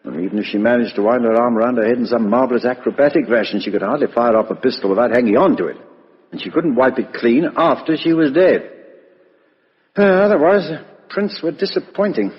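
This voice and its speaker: An actor playing a brisk, shrewd English police inspector in a 1950s British radio drama, blunt and businesslike. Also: male voice, mid-range voice, lightly acted. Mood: brisk, matter-of-fact. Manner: businesslike, colloquial, matter-of-fact. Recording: muffled, reverberant vintage radio recording.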